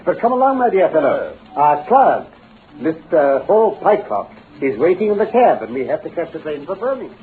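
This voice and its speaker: A self-assured British gentleman detective, precise and articulate, in a vintage radio play. His fairly high voice moves in lively, varied tones. The sound is thin and phone-like, with faint hiss. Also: normal speed. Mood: brisk, genial. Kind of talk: old-time radio drama acting. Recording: muffled, phone-like old radio recording with hiss.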